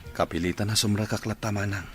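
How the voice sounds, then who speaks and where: An actor playing a tired, dutiful village father and son in an Ilocano radio drama, with an Ilocano accent and a husky voice. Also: lowish voice, steady tone, fast, music in the background, close to an everyday voice. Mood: weary.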